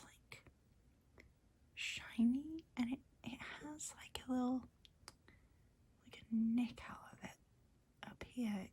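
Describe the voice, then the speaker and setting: A woman hosting an ASMR video, chatting in a gentle, unhurried whisper and softly repeating words. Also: a little high, husky. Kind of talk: whispered ASMR, chatting while sorting buttons.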